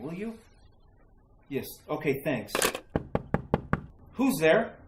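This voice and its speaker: A woman's voice: low voice, full character voice. A woman playing an anxious, hesitant husband searching for his missing wife in a retro radio mystery. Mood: worried. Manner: hesitant, stammering, urgent. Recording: roomy sound with faint noise.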